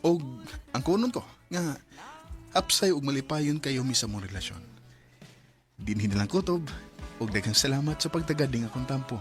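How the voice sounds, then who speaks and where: Guy playing earnest young cebuano man, halting secret lover, radio drama w soft music behind. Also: medium pitch, lively intonation, natural voice. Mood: reflective. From Philippines. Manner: hesitant, halting.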